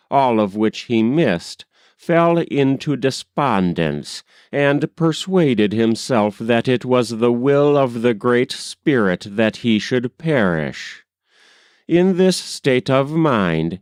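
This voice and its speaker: A man narrates a historical memoir in a formal, measured way. His mid-pitched voice moves with lively intonation at a slow pace. The sound is dry and close, with no room around it. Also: smooth, no echo. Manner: measured, formal storytelling delivery. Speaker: audiobook reader.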